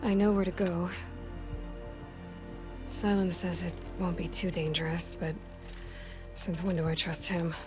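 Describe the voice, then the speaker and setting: An actress voicing a young woman warrior in a video game, resolute and lonely, speaking in a soft, breathy, halting and reflective way. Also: lowish voice, level tone, natural voice. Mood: determined, uncertain. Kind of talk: video game character monologue. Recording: studio game dialogue over background music.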